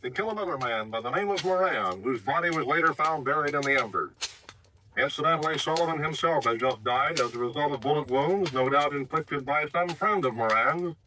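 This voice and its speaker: An American actor playing a formal, emphatic newscaster reading the news in 1950s radio style. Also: male voice, a little high, lively intonation, fairly quick, bright, forceful tone, rough recording. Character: brisk male radio newscaster. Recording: vintage radio recording, noise and clicks.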